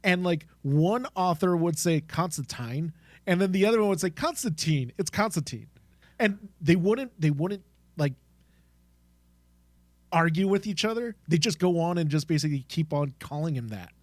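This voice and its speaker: A man with a fairly high voice chats casually as a podcast co-host. He rambles in an excitable way, recorded close and dry with little room sound. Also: normal speed, no room sound. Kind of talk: casual chat.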